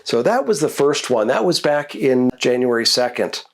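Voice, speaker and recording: A man, a nature photographer and host of his own channel, sounds congested from a cold as he tells the stories behind his photos in a relaxed, reflective way. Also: mid-range voice, moderate pace. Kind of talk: casual narrated photo recap.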